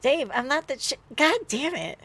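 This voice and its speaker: A woman's voice: high voice, lively intonation, moderate pace, vocal fry, a bit bright, heavily acted. A woman voicing a goofy teenage boy in an amateur fan fiction reading, casual, with dry, teasing comebacks. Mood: annoyed. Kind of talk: amateur fan fiction read-aloud, character dialogue.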